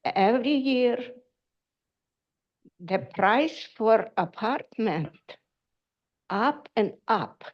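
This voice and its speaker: An older woman with a Ukrainian accent, speaking halting broken English as a tenant at a public council meeting, pleading for help. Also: fairly high pitch, expressive. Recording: muffled lo-fi meeting audio.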